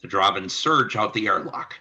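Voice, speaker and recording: A man's voice: fairly low pitch, normal speed, sharp tone, highs cut off. A man reading scripted narration for a radio play as its narrator.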